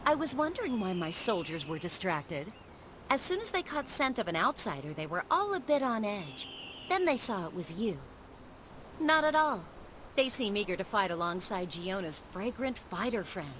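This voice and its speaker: A woman's voice: mid-range voice, sing-song, moderate pace, fairly bright tone, slight background noise. An actress playing a confident, teasing female military commander in a video game, wry and poised. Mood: amused, welcoming. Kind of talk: video game character acting. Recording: game music behind.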